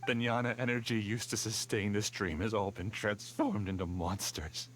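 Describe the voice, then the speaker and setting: A man's voice: on the low side, fairly even intonation, moderate pace, fairly bright tone, lightly acted. A man voicing a bitter, scheming male dream master in a video game, brooding and defiant. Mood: despairing.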